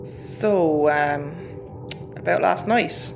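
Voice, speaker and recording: A woman's voice: sits low, drawn-out words, vocal fry, over music, heavily acted. A woman playing a flustered, blunt spaceship first officer in a sci-fi audio comedy. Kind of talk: naturalistic audio comedy acting.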